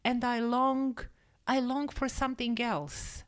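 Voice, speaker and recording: A woman's voice: on the high side, level tone, takes it slowly. A reader as an unhappy, wistful storybook woman. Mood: miserable, longing.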